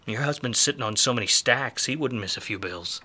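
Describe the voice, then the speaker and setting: An actor playing a hard-drinking, wisecracking, jaded 1940s private detective in a film noir radio play, with sardonic, slangy delivery. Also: male voice, medium pitch, a little fast, bright, forceful tone, slightly rough. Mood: needling, feigned innocence.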